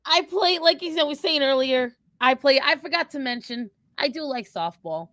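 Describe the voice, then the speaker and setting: A brash young woman, as an actress plays her in character for a live Q&A, speaks in a bright, forceful voice pitched on the high side. She is sassy and confrontational, slangy and quick to swear, with a very lively melody. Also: dry recording, studio quality. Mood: gushing, competitive. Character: brash, foul-mouthed young redhead woman with bossy sass.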